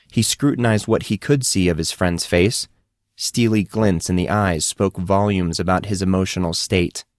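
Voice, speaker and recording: A man's voice: fairly low pitch, no echo, studio quality. A man reading scripted fiction as an audiobook narrator.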